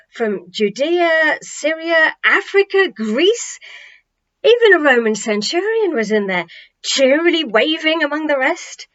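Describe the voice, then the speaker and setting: A woman reading a children's novel aloud as an expressive storybook narration, with dramatic, emphatic phrasing and deliberate pauses. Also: sits high, highs cut off.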